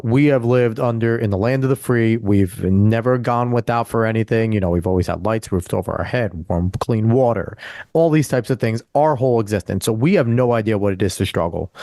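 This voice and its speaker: A young man co-hosting a podcast interview, asking measured, conversational questions. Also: fairly low pitch, warm tone, dry recording, studio quality. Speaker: podcast co-host.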